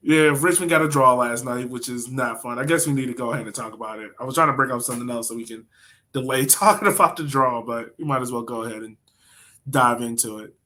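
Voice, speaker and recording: A man with a bright, forward voice at a middle pitch co-hosts a sports podcast. He talks casually and slangily, like someone telling a story to a friend. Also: a little fast. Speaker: soccer podcast co-host.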